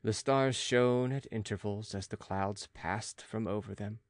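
A man narrating a classic gothic novel as an audiobook, in a measured, dramatic literary manner. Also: fairly low pitch, no echo, studio quality.

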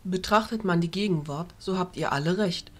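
A woman's voice: on the low side, lightly acted. A woman playing a composed, authoritative fairy leader who speaks formally in an amateur audio drama. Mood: composed, solemn. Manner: formal, measured.

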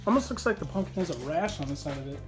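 A man's voice: on the high side, expressive, very fast. Joking young man with music behind. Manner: joking, easygoing banter. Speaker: vlog host. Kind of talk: casual chat. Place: home living room, indoors. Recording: background music under the voice.

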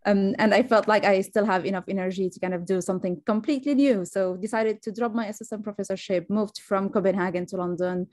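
A woman's voice: mid-range voice, steady tone, fast talker, hardly any pauses, a little mellow, no echo. A woman from Syria introducing herself informally as a panelist, with light laughs as she talks. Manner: laughs lightly while talking. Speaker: panelist, AI startup founder.

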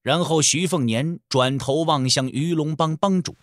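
A man's voice: fairly high pitch, moderate pace, dry recording, studio quality. A man narrating a martial-arts novel as a scripted audio drama, telling the story in the third person.

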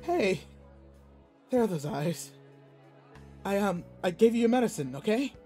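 A man's voice: sits high, animated, a little slow, warm tone, soft background music. A man playing a brusque, anxious young student bully who secretly cares, in an audio roleplay drama with emotional delivery. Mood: tender, worried.

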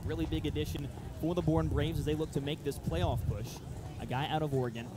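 A man's voice: fairly high pitch, fairly even intonation, fast, background noise. A man doing live baseball radio play-by-play in short, descriptive phrases. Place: outdoor ballpark broadcast position.

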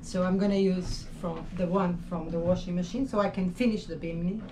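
A woman in her fifties chatting casually in a vlog, often pausing to find the right word. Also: lowish voice, steady tone, far from the mic, background noise. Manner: hesitant, searches for words. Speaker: sailing vlogger. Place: catamaran saloon, indoors.

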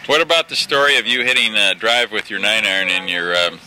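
A man's voice: lowish voice, a little fast, background noise. A man questioning a golf pro at an outdoor clinic, agreeable and curious. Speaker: golf instructor interviewing.

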